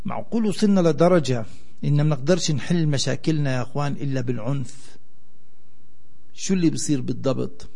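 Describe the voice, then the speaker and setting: A man's voice: mid-range voice, fast talker, creaky, pauses often, dark tone. A man with a Palestinian Arabic accent hosting a live radio call-in show and addressing listeners conversationally.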